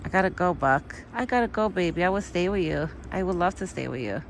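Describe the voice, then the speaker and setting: A middle-aged woman chatting casually outdoors to her viewers. She keeps breaking into high sing-song baby talk for an animal. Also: animated, brisk, slight background noise. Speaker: vlogger talking to a wild deer. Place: outdoors in a yard.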